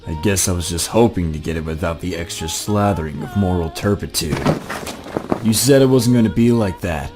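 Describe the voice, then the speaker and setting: A man's voice: low voice, moderate pace, natural voice. A man voicing a weary, sardonic saxophone-playing gambler in an audio drama, with wry, dry deflections. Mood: bitter, wry. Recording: music under the voice.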